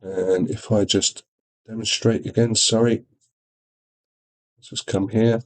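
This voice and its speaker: Male product demonstrator, lowish voice, informal step-by-step tutorial delivery. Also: steady tone, slow pace. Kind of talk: software tutorial walkthrough.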